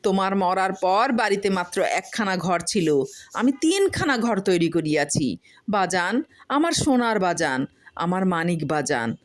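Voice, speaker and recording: A woman's voice: mid-range voice, sing-song, measured pace, voice pushed up. Woman voicing a simple, doting farmer with swooping voice. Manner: pleading, affectionate, exclaiming. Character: simple, tender, devoted poor male farmer. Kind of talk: dramatized storybook reading.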